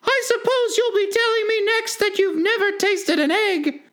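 A reader voicing an indignant, distraught mother pigeon in a storybook, shrill and shrieking, scolding and plaintive. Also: steady tone, normal speed, fairly bright tone. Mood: contemptuous accusing. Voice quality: shrieking, very high-pitched. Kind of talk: storybook character voice.

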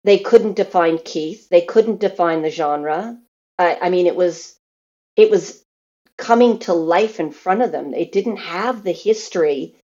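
Mellow low-voiced woman from Canada. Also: measured pace, muffled recording. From Canada, grew up in Newfoundland and Alberta. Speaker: interview guest, theatre performer. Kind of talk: casual interview storytelling.

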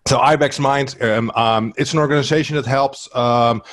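A man's voice: mid-range voice, fairly even intonation, moderate pace, a bit bright. A man with a Dutch accent, co-hosting a webcast and introducing a guest in a friendly, hesitant way. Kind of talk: casual webcast chat.

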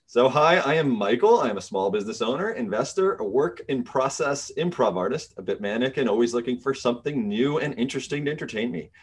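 A male podcast host with a voice of medium pitch. He chats casually and jokes along, laughing often with his guest. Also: lively intonation, quick pace, few pauses, breathy edge. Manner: laughs often, friendly and joking. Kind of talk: casual interview chat.

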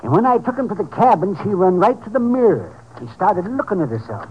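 An actor playing a chatty, nosy older American motel owner in a 1950s radio drama, rambling in a folksy, hesitant way. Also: male voice, high-pitched, sing-song, brisk. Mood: chatty, eager. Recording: muffled, noisy 1950s radio recording.

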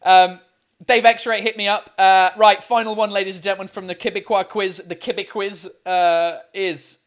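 A man co-hosting a livestream quiz, chatting casually with viewers in a loud, laughing, swearing style full of fillers. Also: high-pitched. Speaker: livestream co-host running a quiz.

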